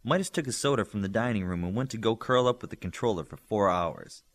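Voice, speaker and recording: A man reading a story aloud as scripted, expressive narration. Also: on the low side, fast. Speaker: audiobook reader.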